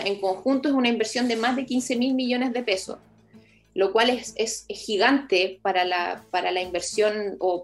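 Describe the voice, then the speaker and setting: A Chilean woman speaks as a public official in an informal interview. She explains step by step, haltingly, restarting and rewording her phrases. Faint music plays behind her higher-set voice. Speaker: municipal project official being interviewed.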